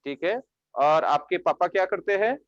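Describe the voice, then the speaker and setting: A man's voice: high-pitched, lively intonation, moderate pace, no echo. A male teacher giving an online English vocabulary lesson in Hindi, who repeats his own questions and keeps pressing the class for yes-or-no answers. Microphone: online class microphone. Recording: dull, dry online lecture audio.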